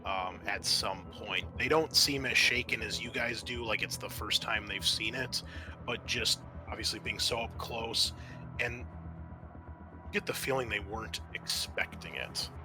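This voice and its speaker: A man hosting as game master, narrating a tabletop roleplaying game in a halting, conversational way. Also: on the low side, over music, rough recording.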